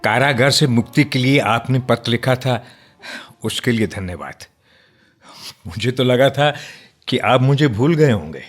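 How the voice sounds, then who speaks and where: An actor playing an earnest, courteous nationalist reformer in a radio drama, measured and formal. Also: male voice, medium pitch, lively intonation, faint music behind.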